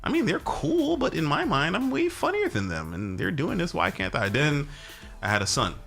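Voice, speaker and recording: A man's voice: a little high, normal speed, creaky, faint music behind. A man, a comedian chatting in a casual interview and acting out what people said. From Brooklyn, New York. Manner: animated storyteller who acts out quotes. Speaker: comedian guest.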